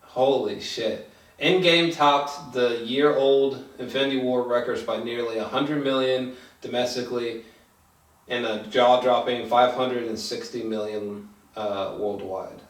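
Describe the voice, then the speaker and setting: A man's voice: medium pitch, unhurried. Guy doing an off the cuff movie review, rambles and curses, echoey, far from the mic. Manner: rambling, excitable, profane. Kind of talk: casual unscripted chat review. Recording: roomy echo, distant mic.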